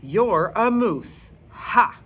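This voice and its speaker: A woman's voice: medium pitch, expressive, extremely slow, fairly bright tone, slightly rough, pitched above the performer's own voice, exaggerated voice. A woman voicing a playful, cheeky young schoolboy who likes to joke, in a storybook reading. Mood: teasing, excited. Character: playful, cheeky third-grade boy joker.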